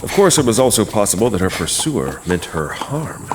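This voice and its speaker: Deep-voiced man narrating horror. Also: sing-song, moderate pace. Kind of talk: dramatic scripted horror narration. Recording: clear background noise, night sound effects.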